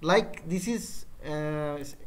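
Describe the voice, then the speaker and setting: A man's voice: fairly high pitch, slow pace. A man with a Bangladeshi accent, a freelancer whose first language is Bengali, speaking hesitant English with many fillers and restarts on a client video call. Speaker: digital marketing freelancer.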